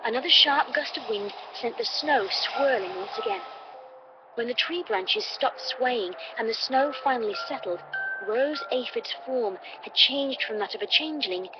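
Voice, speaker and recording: A woman's voice: a little high, animated, a little slow, background noise. A woman reading a scripted story as a dramatic narrator. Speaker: fan fiction reader. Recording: muffled lo-fi playback. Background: music.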